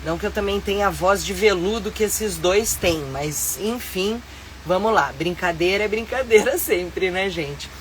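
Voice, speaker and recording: Brazilian lady doing a phone livestream from her motorhome, stuffy nose from a cold, upbeat and chatty, lots of fillers, bit of low background hum. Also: moderate pace. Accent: Brazilian Portuguese. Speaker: live-stream host and tour guide. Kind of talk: casual live-stream chat.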